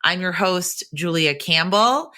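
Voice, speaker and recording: A woman hosting a podcast, talking with lively enthusiasm. Her voice sits low, yet it rings bright and forceful. She is from the Boston area. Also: slow. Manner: upbeat, enthusiastic. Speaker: podcast host. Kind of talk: podcast interview and intro.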